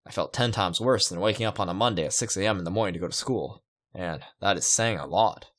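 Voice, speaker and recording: A man's voice: low voice, fast talker, a bit bright. A man playing a visual novel, reading it aloud and musing with casual asides. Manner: musing, reacting aloud to the story. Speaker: let's player. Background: game music.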